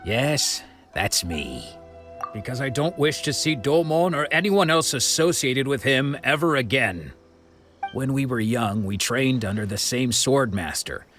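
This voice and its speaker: A man voicing a middle-aged former sword disciple who tells his past in a measured, reflective way, with soft music behind. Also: medium pitch, natural voice. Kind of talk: video game voice acting.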